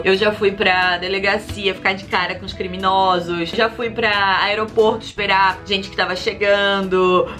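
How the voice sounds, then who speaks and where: Effusive young woman, bright voice. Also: mid-range voice. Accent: softened Carioca Portuguese. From Rio de Janeiro, Brazil. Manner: chatty and effusive, with lively swings in emphasis. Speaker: vlogger and journalist. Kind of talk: casual vlog chat to viewers.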